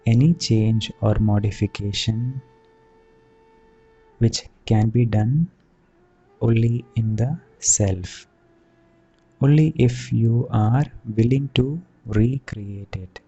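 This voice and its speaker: A man leads a guided meditation as a teacher, speaking soothingly and deliberately in short phrases with long pauses. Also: on the low side, warm tone, breathy edge, dull audio. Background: background music.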